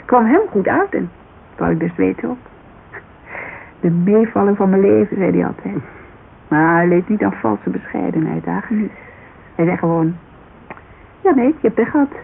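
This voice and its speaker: Actress playing a grieving, creaky-voiced woman. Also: mid-range voice, moderate pace, long pauses, lightly acted. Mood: wry, reflective. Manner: hesitant, colloquial, confessional. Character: grieving, self-reproachful woman confiding about her dead lover. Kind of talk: naturalistic radio drama dialogue. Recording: muffled, hissy old radio recording.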